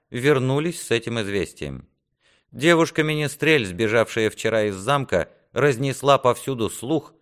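A defiant squire, voiced by a male narrator, solemn and resolute. He declaims firmly in a lifted, high-pitched voice, in the manner of a storybook character. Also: fairly bright tone, close to an everyday voice. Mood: grave. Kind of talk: audiobook character acting.

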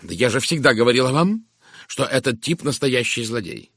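Man voicing brusque, indignant woman, pitched up and forceful. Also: expressive, a little fast, dry recording, clean studio sound, natural voice. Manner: curt, sharp, emphatic. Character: brusque, impatient, indignant English woman, stubbornly outspoken. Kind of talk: dramatised audiobook dialogue.

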